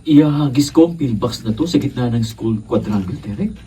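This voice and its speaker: An actor playing a decent, timid young college student in a radio drama, hesitant and earnest. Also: male voice, medium pitch, expressive, normal speed, far from the mic, close to an everyday voice, poor audio. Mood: uneasy, nervous.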